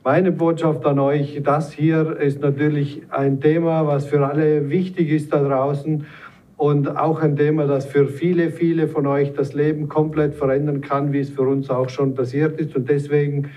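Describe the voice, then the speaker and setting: A man in his forties from South Tyrol in Italy, urging listeners in a persuasive sales pitch. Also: flat delivery, moderate pace, mellow, far from the mic. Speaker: network marketer. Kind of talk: promotional panel talk.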